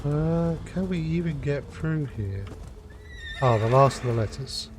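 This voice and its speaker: A man giving casual, low-key commentary as he streams a video game. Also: expressive, a little slow. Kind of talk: casual live gameplay commentary. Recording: game music behind voice. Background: video game music and sounds.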